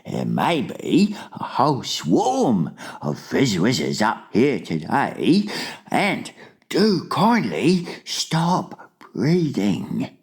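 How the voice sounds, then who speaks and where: A woman voicing an excitable, kindly male giant in a storybook, with quaint mangled grammar and emphatic, drawn-out exclamations. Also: extremely low pitch, voice pushed up, exaggerated voice. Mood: hushed, bossy. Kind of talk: storybook character voice in a dramatic reading.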